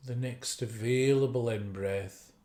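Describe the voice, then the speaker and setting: A man leading a guided meditation as a teacher, speaking gently and unhurriedly with long pauses. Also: mid-range voice. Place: indoor room. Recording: roomy, echoey video call recording.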